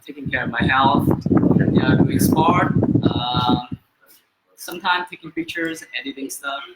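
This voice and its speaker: A man who works in recruitment, introducing himself casually with many pauses and fillers. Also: mid-range voice. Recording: echoey room with background noise.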